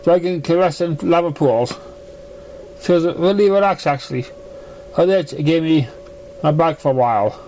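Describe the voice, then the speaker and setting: A man's voice: a little high, normal speed, long pauses, warm tone, a little hiss or hum, lightly acted, poor audio. Man reading as a casual, shrugging young dragon. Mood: dismissive.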